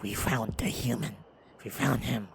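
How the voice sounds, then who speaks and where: A man voicing a savage, gloating feral vampire in a fantasy audio roleplay, with a gravelly snarl and halting, repeated short phrases. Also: medium pitch, steady tone, very noisy. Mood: menacing surprise.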